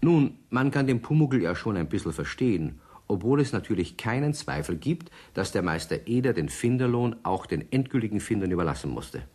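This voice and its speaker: Male storyteller, children's radio play, low husky voice, expressive, wry delivery. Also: a little fast, heavily acted. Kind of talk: scripted narration.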